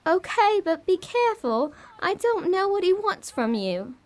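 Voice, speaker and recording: A woman's voice: extremely high pitch, big pitch swings, unhurried, heavily acted. A woman playing a timid, secretive seven-year-old English girl in an audio horror drama, childlike and earnest. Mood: worried.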